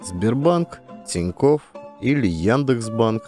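A man's voice: medium pitch, animated, takes it slowly, music in the background. A man reading scripted narration for a satirical family comedy audiobook. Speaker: narrator, actor.

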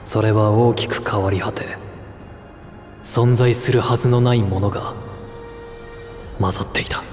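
A man's voice: on the low side, fairly even intonation, fairly quick, darkish tone, natural voice. A man playing a somber young man in a video game, narrating an uneasy homecoming in a brooding, reflective way. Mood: somber, troubled. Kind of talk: scripted game cutscene monologue. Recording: long echoing reverb, music underneath.

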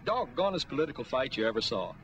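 A man's voice: mid-range voice, sing-song, quick pace, a bit bright. An actor playing a chatty old fireman, telling stories in a folksy, colloquial way in a 1940s film. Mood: reminiscing. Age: older. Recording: faintly noisy 1940s film soundtrack.

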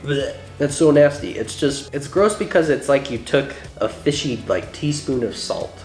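A man's voice: medium pitch, normal speed. A congested young man with a stuffy, nasal voice, co-hosting a casual food taste test at a home kitchen counter. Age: young adult. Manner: animated, wordy explainer. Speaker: co-host. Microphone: camera mic, seated at counter. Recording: echoey room, faint music.

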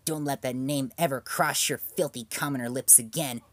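A man's voice: mid-range voice, fairly even intonation, normal speed, a bit bright, no echo, pitched below the performer's own voice, close to an everyday voice. A man voicing a brash schoolgirl who speaks in grand, haughty declarations. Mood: grandiose. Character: brash schoolgirl posing as a dark servant.

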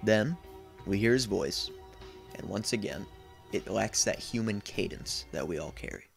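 A man's voice: lowish voice, lively intonation, measured pace, creaky, soft background music. A man in his twenties giving a scripted narration as a video essayist. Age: 20s. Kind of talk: scripted narration, horror series recap. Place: small indoor room.

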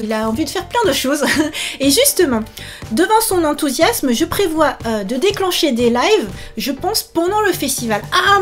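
High-voiced, enthusiastic woman vlogger. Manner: animated, exclamatory, enthusiastic. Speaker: author and YouTuber promoting her book. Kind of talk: casual chat to camera. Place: small indoor room set up for filming.

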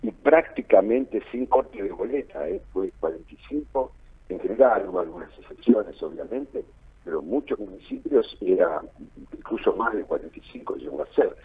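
Deep-voiced Argentine man on phone-like line. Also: lively intonation, moderate pace, husky. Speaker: political analyst being interviewed. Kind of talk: radio interview analysis. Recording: narrow phone-like line, muffled.

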